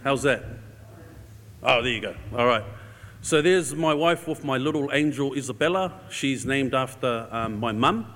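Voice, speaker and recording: A man with a New Zealand accent speaks to a congregation in a big, reverberant hall. His pitch is average for a man. He talks informally and conversationally, feeling his way through a personal story as a visiting speaker. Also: moderate pace, fairly bright tone. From New Zealand, grew up in Auckland. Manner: casual, conversational, hesitant phrasing with tag "eh". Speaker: guest speaker for a charity. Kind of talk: informal church talk, sharing a personal story. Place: large indoor church hall. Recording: echoey hall with long reverb.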